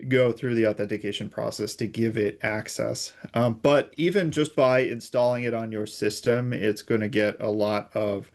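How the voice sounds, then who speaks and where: A man's voice: on the low side, steady tone, moderate pace, few pauses. A man speaking as an interview guest, giving informal, conversational explanations as a technical expert.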